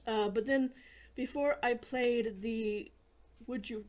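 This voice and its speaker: A hoarse woman hosting a casual gaming video, chatting in a rambling way and often clearing her throat. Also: on the high side, fairly even intonation, takes it slowly. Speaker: gaming channel host.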